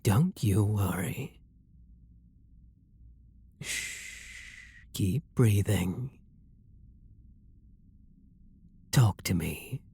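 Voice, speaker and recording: A man with a British accent playing a refined, possessive and seductive vampire lord in an intimate ASMR roleplay, speaking softly and coaxingly with a breathy, husky voice. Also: on the low side, expressive, full character voice. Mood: soothing, tender. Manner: soft, coaxing, flirtatious, unhurried.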